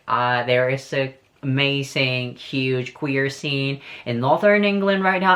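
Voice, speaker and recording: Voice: medium pitch, a bit bright. A young adult from Hong Kong giving candid advice in a casual vlog, talking in a chatty way with frequent ums and likes. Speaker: vlogger.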